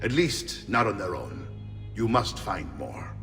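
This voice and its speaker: A blunt mercenary uncle. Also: male voice, on the low side, sing-song, a little slow, vocal fry, a bit bright, in a large hall, soft background music, heavily acted. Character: gruff middle-aged male mercenary uncle, blunt. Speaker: hero's uncle, mercenary leader.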